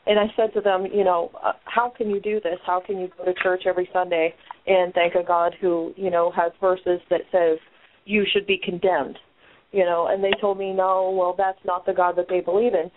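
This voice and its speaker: A woman's voice: fairly low pitch, flat delivery, moderate pace, slight background noise. A woman hosting a call-in discussion, talking earnestly and kindly to her guests. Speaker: podcast host. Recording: tinny phone-line audio.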